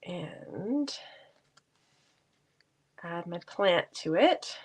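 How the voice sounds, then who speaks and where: A woman's voice: on the low side, expressive, very slow, slightly rough. A woman with a stuffy cold voice, chatting casually and laughing lightly as she demonstrates card making in her basement craft room. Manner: chatty, laughs often, self-deprecating.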